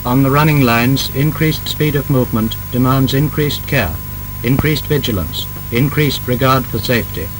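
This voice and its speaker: A man with a British accent reads a scripted 1930s instructional film narration in a formal, emphatic, measured manner. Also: over music. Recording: noisy old film soundtrack with rumble.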